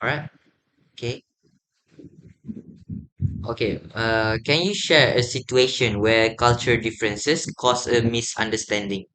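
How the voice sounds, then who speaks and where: Polite young student interviewer. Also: male voice, mid-range voice, a little slow, long pauses, bright, forceful tone, low-quality recording. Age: young adult. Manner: polite, with fillers and brief acknowledgments. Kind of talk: semi-formal interview questions.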